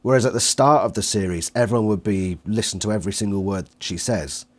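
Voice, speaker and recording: A man's voice: lowish voice, brisk. A man talking casually as an interviewer and reviewer in a fan discussion.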